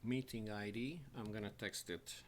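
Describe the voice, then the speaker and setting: A man hosting a live show from his home studio, talking haltingly and restarting as he sorts out his setup. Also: lowish voice, fairly even intonation, slight background noise. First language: Hungarian. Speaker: host of a live online show. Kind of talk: casual live-stream talk to viewers.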